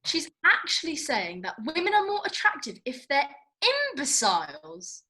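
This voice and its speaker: A woman's voice: sits high, very animated, normal speed, bright, forceful tone, heavily acted. An actress playing a blunt, indignant young British woman with colloquial, sweary speech in a comedy script read. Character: blunt, indignant young woman, film-loving Austen festival-goer.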